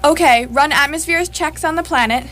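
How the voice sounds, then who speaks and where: A woman's voice: high-pitched, normal speed, noisy background, lightly acted. A woman playing a brash, impatient and flippant space adventurer in charge, curt and casual, in live radio theatre.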